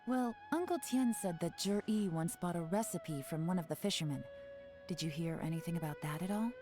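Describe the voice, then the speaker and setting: Actress as a composed game spy, low-pitched, controlled, always one step ahead. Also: female voice, soft background music, natural voice. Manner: calm, measured, in control. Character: cool, secretive woman intelligence agent. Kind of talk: video game voice acting.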